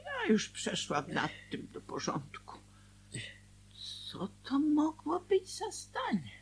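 A woman playing an anxious, guilt-ridden wife in a radio drama, halting and confiding, with a husky voice. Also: sits high, wildly swooping pitch, whispered, exaggerated voice. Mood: scheming, hesitant.

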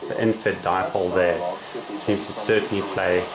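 A man's voice: sits low, steady tone, a little slow, crowd noise, poor audio. A man giving a casual, unscripted explanatory demonstration as a radio hobbyist, with somewhat hesitant phrasing. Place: small indoor radio room.

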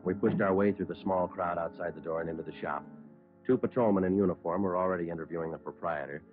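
An actor playing a terse, businesslike American police sergeant, narrating his case in a deadpan old radio crime drama style. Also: low voice, fast, lightly acted. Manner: clipped, deadpan, matter-of-fact. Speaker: detective narrator. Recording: muffled old radio broadcast, music behind.

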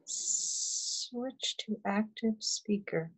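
A woman on a video call quietly muttering to herself, puzzled, as she tries to work out the call settings. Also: medium pitch, extremely slow, husky, low-quality recording.

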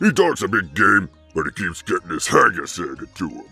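A huge, blunt turtle monster villain in a cartoon. The voice is low and gravelly, sarcastic and mocking, leaping around in pitch over faint music. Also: male voice, big pitch swings, moderate pace, sharp tone, exaggerated voice. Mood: scornful.

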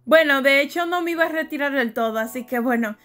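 A woman's voice: high voice, fast talker, a bit bright, soft background music. A woman video creator talking casually to viewers in a rambling, repetitive way. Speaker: fan dubber and video creator. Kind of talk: casual outro thanks to viewers.

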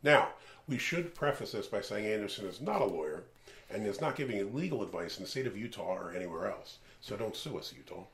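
A man's voice: lowish voice, a little fast, a little hiss or hum. A man in his forties from the American Northeast, hosting a beer review show in casual, jokey, rambling chat. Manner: animated, jokey, rambling asides. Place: small room lined with beer shelves.

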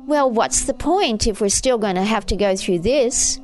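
Woman as a high-pitched, whiny, panicking boy. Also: animated, moderate pace, darkish tone, slight background noise, lightly acted. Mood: bitter, whining. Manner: wailing, hysterical complaints. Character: frightened teenage boy, whiny, bitter, panicking. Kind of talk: audiobook character voice.

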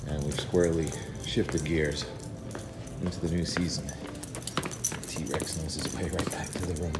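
A man's voice: very deep voice, level tone, measured pace, heavy background noise. A man giving a casual weather update while walking his dog outdoors at a mountain resort, filming on a phone. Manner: easygoing, chatty, talks while walking the dog. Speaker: weather forecaster and vlogger. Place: outdoors at a mountain resort at dawn, then a lodge hallway.

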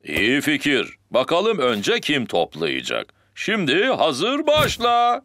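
A man's voice: a little high, wildly swooping pitch, slow, heavily acted. A man voicing a jolly, playful daddy pig in a children's cartoon, with a hearty, jovial manner. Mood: competitive, cheerful. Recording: music behind the voice.

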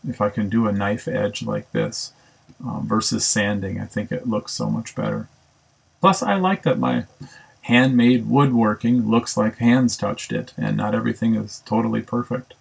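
A man's voice: lowish voice, fairly even intonation, moderate pace, creaky, slightly rough, poor audio. A man casually narrating a woodworking build, thinking aloud with ums and self-corrections. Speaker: woodworking instructor. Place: home woodshop.

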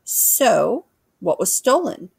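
A woman's voice: medium pitch, big pitch swings, slow pace, gravelly, husky, dry recording, pitched above the performer's own voice, exaggerated voice, rough recording. A woman voicing a blunt, curious American boy in a storybook, plain-spoken and skeptical. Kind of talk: children's storybook character voice.

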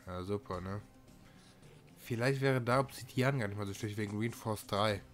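Guy with a lowish voice doing a chill let's play, talking to himself as he goes, faint music behind. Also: normal speed, a bit bright. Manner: thinking aloud while playing. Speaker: gaming video host. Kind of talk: casual let's-play commentary.

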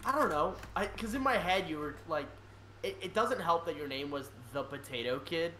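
Animated, bright-voiced man bantering. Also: fairly high pitch, normal speed, a little hiss or hum. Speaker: streamer hosting an online card game with friends. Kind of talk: casual profane gaming banter.

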